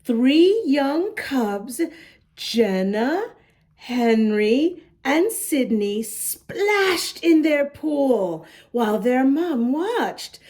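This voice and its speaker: A woman's voice: high-pitched, sing-song, drawn-out words, darkish tone. A woman hosting a children's story time, reading a picture book expressively and chatting to young listeners in a sing-song way. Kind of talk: children's read-aloud with asides to young listeners.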